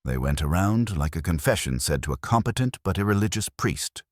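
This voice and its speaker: A man reading a first-person detective story as a scripted audiobook, in a dry, measured storytelling manner. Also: sits low, animated, no room sound. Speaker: narrator and reader of a detective story.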